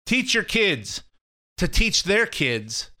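A man's voice: high voice, sing-song, slow pace, sharp tone. A middle-aged American man giving casual, opinionated political commentary in a rambling way, repeating his phrases. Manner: rambling, repeats phrases, acts out imagined quotes. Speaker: political commentator and show host.

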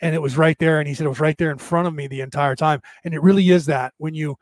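A man hosting a casual livestream, rambling through spiritual musings with many restarts, his slightly raspy voice tiring. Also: fairly high pitch, fairly even intonation, quick pace, no room sound, clean studio sound. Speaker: podcast host. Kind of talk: casual livestream monologue answering viewer comments.

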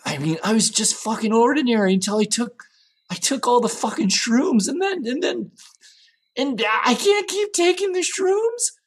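A man playing an insecure, aging rock singer in an improvised scene, rambling and stammering in a husky voice. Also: medium pitch, very animated, normal speed. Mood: panicked, ranting. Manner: rambling, stammering, repetitive, sweary. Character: needy, insecure aging male rock singer, past his prime. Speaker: actor in an improvised theater scene. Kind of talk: improvised monologue and dialogue acting.

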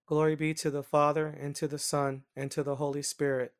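Guy leading rosary prayers, kinda higher voice, very even, measured recitation. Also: no room sound, clean studio sound.

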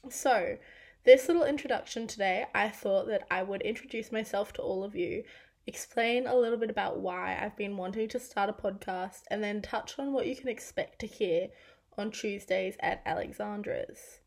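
A woman in her twenties with an Australian accent, hosting a casual solo podcast with a croaky, raspy voice from losing it this week, chatty and self-deprecating. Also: mid-range voice, normal speed, a little mellow. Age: 20s. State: croaky, half-lost voice. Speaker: podcast host.

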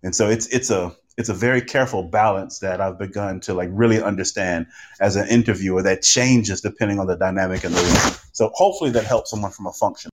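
Deep-voiced man giving measured advice. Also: a little hiss or hum. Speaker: podcast interviewer, panelist. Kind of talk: conversational advice on a panel.

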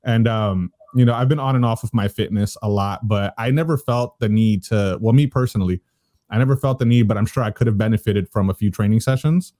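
A man's voice: fairly low pitch, brisk, warm tone, no room sound, studio quality. A man in his thirties interviewing in an informal, agreeable, rambling conversational way. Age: 30s.

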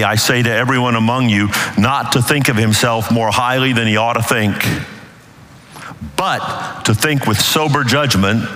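A middle-aged man preaching a sermon as pastor, with a big, booming voice and an emphatic delivery that rises and falls in intensity. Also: sharp tone. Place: church sanctuary. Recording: long reverb of a large hall.

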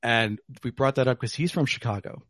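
A man's voice: medium pitch, fairly quick, a bit bright, no room sound. A man hosting a podcast, chatting casually over whiskey in a rambling way with plenty of fillers. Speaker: podcast host. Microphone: remote call.

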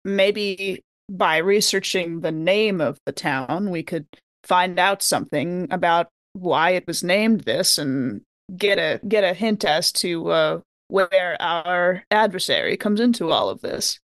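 A woman's voice: lowish voice, animated, slow, no echo. A woman chatting casually as a player in a tabletop story game. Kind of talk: casual group chat.

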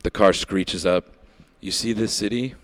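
A man playing a sinister, gun-toting fake doctor in an improvised radio comedy, speaking casually and evasively with quiet menace. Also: fairly low pitch, measured pace, natural voice. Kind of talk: improvised parody of a radio play.

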